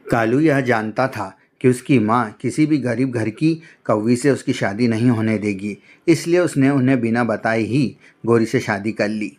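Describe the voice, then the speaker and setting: A man's voice: medium pitch, normal speed, hardly any pauses, a little mellow. A man narrating a scripted children's-style cartoon story as its storyteller.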